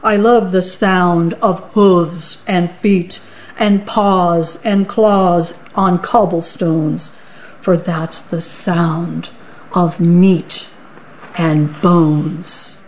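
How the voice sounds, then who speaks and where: A woman's voice: fairly low pitch, steady tone, drawn-out words, a little mellow. A woman voicing a hungry, boastful male troll who gloats in sing-song rhymes, on a dull lo-fi recording. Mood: menacing.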